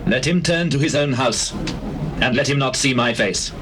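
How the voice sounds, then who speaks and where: An actor playing a grave, authoritative biblical king in a dramatized scripture recording, measured and formal in archaic speech. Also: mid-range voice, noisy background, natural voice. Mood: commanding. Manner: measured, commanding.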